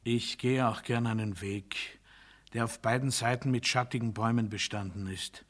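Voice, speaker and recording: An actor playing a pompous, paranoid new ruler in a radio play monologue, declaiming to his people in a sharp, forceful, theatrical voice that moves between proclamation and confiding. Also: mid-range voice, fairly even intonation, normal speed, lightly acted. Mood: hushed, sinister calm. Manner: declamatory oratory, swinging from loud proclamation to hushed confiding.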